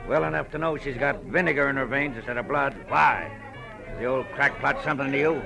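An actor playing a gruff, belligerent old boatyard boss full of salty sailor slang, in 1940s radio drama style. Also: male voice, mid-range voice, fairly quick, over music, lightly acted. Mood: gruff, scornful. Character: hot-tempered, gruff old sea dog boatyard boss.

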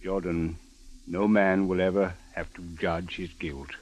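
An actor playing a solemn, courteous Egyptian police official in a 1940s radio drama, speaking in a formal, grave, measured manner. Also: male voice, low voice, flat delivery, a little hiss or hum. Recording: muffled old radio broadcast recording.

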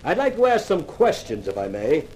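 An actor playing a cocky, sardonic newspaper columnist in a 1950s radio detective drama, with a wry, clipped delivery. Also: male voice, on the high side, wildly swooping pitch, moderate pace, a little mellow, slight background noise, low-quality recording. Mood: coolly polite. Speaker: actor playing the lead and narrator.

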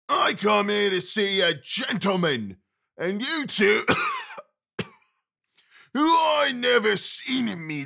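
A man's voice: sits high, creaky, pushed and bright, full character voice. An actor playing a stubborn, indignant old man who grumbles in uneducated dialect.

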